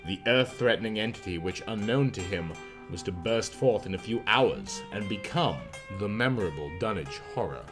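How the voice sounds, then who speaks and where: A man reading scripted literary horror fiction as an audiobook narrator. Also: mid-range voice. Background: guitar music.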